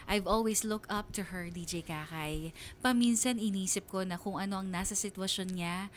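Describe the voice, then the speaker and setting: A woman's voice: mid-range voice, a little hiss or hum, lightly acted. An actress playing a grown daughter narrating her story on Filipino radio, sincere, reflective and admiring. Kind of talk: first-person narration to a radio host.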